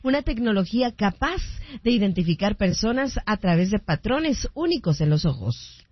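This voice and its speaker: A woman's voice: mid-range voice, sing-song, normal speed, breathy edge, dry recording, muffled recording. A woman co-hosting a radio show, switching between casual chat and scripted news headlines. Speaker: radio co-host and news reader. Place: radio studio.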